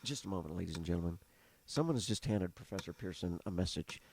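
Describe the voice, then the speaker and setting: An actor playing an earnest radio news reporter in a 1930s-style radio drama, speaking in a formal, dramatic announcer manner. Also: male voice, deep voice, lively intonation, fast talker, darkish tone. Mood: formal, measured. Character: earnest male radio news reporter interviewing on live air. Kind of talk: 1930s radio acting, live news commentary. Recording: noisy old radio recording with clicks.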